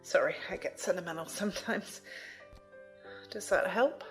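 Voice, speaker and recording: A woman's voice: on the low side, normal speed, soft background music, natural voice. A woman playing a sentimental, demanding widowed mother of a grown son in a sci-fi audio drama, emotive and dramatic. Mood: wistful, tender.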